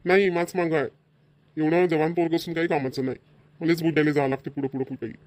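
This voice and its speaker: A middle-aged cartoon village father, voiced by a man grumbles at his idle son. He talks quickly, in a rather high voice. The sound is dry and close. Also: level tone, darkish tone, no echo, lightly acted. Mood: grumbling. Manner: rural Marathi dialect, grumbling, repetitive. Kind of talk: cartoon voice acting.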